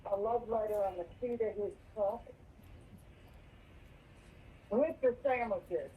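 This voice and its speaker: A woman's voice: mid-range voice, slow pace, recorded from a distance, slight background noise. A woman talking casually on a phone call that is played back in a courtroom.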